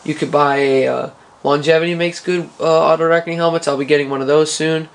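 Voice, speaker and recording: A man casually talking through welding gear in a garage workshop, relaxed, with lots of uh fillers. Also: on the high side, fast, vocal fry. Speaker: hobby welder showing gear. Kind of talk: casual how-to vlog. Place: garage workshop with concrete floor.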